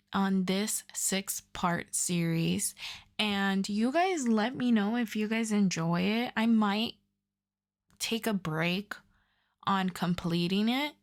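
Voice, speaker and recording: A woman hosting her audio roleplay channel, chatting casually to her viewers. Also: a little high. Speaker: audio roleplay creator and host.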